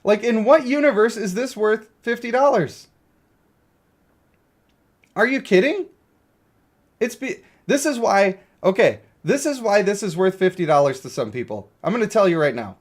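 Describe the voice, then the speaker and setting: A man's voice: high voice, animated, normal speed, pauses often. A man giving casual, opinionated livestream commentary on a mobile game, blunt and given to exasperated ranting. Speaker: game streamer.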